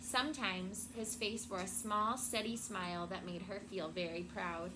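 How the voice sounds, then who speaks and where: A woman teacher reading a storybook aloud to children in a classroom, with expressive, deliberate phrasing and prompting questions. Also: mid-range voice. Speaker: elementary school teacher. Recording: very noisy classroom recording.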